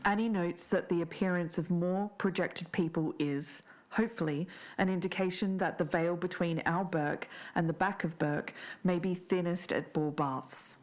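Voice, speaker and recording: A woman reading scripted podcast narration in a measured, explanatory way. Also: fairly low pitch, steady tone, darkish tone.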